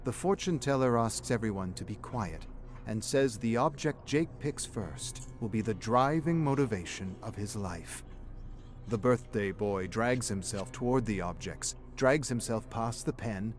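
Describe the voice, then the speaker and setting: An actor narrating a dramatic story in a solemn, measured way as a storyteller. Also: male voice, on the low side, natural voice. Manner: solemn, measured storytelling that also voices the characters' dialogue. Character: solemn storyteller narrating a young man's troubled memories. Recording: background music under the narration.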